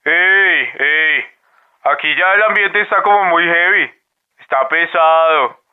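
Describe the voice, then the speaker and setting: A man's voice: on the high side, takes it slowly, clean tone. A man playing a sensitive, romantic young guy with a Colombian accent, earnest and colloquial, in a radio drama. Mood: hesitant, uneasy. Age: young adult. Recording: narrow, phone-like lo-fi sound.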